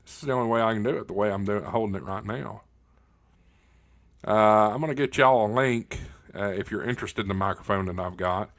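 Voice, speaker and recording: A low-voiced man hosts a casual livestream from home, speaking right up on a studio mic. His delivery is folksy and unscripted, with halting pauses as he addresses his viewers. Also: fairly bright tone. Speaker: livestream host of a ham radio channel. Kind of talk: casual livestream chat answering viewer questions. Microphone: close directional studio condenser microphone, spoken right up on it.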